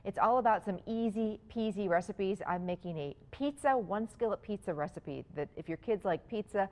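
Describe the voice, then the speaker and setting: A woman's voice: mid-range voice, normal speed, a little mellow. A chatty woman, talking her viewers through a recipe on a studio kitchen set. Her voice sits mid-range and stays upbeat and conversational. It has the clean, close sound of a lapel mic. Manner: chatty, upbeat, talks while cooking. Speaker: TV cooking segment host. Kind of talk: morning TV cooking demo.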